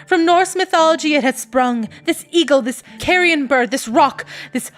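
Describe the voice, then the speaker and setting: A woman's voice: high voice, moderate pace. A woman reading a first-person story aloud as an audiobook narrator, with an intense, theatrical and emphatic delivery.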